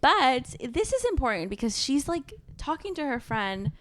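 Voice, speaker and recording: Lively woman chatting, very dry sound. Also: high-pitched, normal speed, no echo. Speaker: podcast co-host. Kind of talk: casual chatty book discussion.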